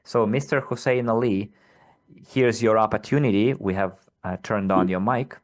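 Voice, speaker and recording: Guy running a live q&a, low voice, blunt and no-nonsense. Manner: direct, firm and brisk. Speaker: company presenter hosting a live Q&A. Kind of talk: live broadcast Q&A.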